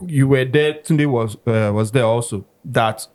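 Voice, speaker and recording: A man's voice: mid-range voice, measured pace, a little mellow. A Nigerian man on a podcast panel, insistently defending his view with stammers and repeats. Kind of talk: casual football podcast chat.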